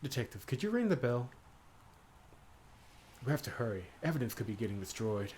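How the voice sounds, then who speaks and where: A man's voice: medium pitch, very animated, fairly quick, darkish tone, slight background noise, natural voice. A man voicing a composed, businesslike female police inspector in a visual novel, polite, firm and formal.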